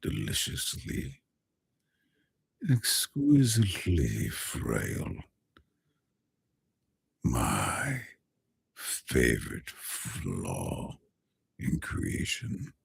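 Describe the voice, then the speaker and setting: A man voicing an ancient death-like entity who scorns frail humans, speaking in slow, hushed, savoring whispers. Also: a bass voice, huge pitch swings, vocal fry, slightly rough, no room sound, pitched below the performer's own voice, full character voice. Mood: gloating menace. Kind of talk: dark villain monologue.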